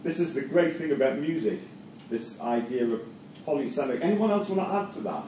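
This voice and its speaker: A middle-aged man with a Geordie accent lectures to a class, his voice dark and mellow. He stumbles and repeats words as he thinks aloud. The mic sits far off in an echoey room with faint noise. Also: on the high side, expressive, brisk. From Newcastle, England. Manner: hesitant, repeats words, many fillers. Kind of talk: university lecture with class discussion.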